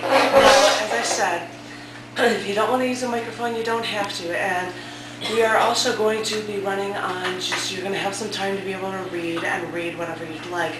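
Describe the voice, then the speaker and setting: A woman hosting a cafe open mic and reading a poem, her voice scratchy from a sore throat, chatty and self-deprecating. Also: mid-range voice. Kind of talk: casual hosting, then poetry reading. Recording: echoey room from far off.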